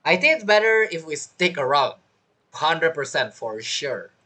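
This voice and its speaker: A woman streaming a video game with casual, bantering reaction commentary in a husky, expressive voice. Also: deep voice, unhurried. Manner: expressive, bantering, repeats words. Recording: game music behind voice. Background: video game music.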